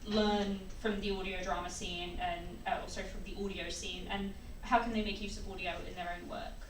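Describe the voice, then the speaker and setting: A woman moderator putting questions to a panel in a relaxed, conversational style. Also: mid-range voice, normal speed, distant mic. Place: indoor convention panel room. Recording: echoey room from off mic, faint noise.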